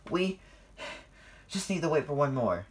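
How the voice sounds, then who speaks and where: A reader doing an excitable high-pitched young steam engine. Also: unhurried. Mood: eager, breathless. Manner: exclaiming, high-spirited.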